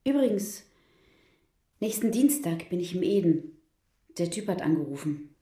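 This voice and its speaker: A woman's voice: lowish voice, lively intonation, moderate pace, warm tone, echoey room, natural voice. A woman playing a blunt, easygoing sex worker in a radio play, talking in an offhand way.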